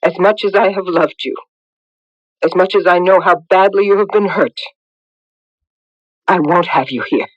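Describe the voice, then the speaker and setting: A woman's voice: lowish voice, moderate pace, long pauses, dry recording, natural voice. An actress playing a stern, anguished middle-aged mother in a radio drama. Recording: dull, dry vintage radio recording.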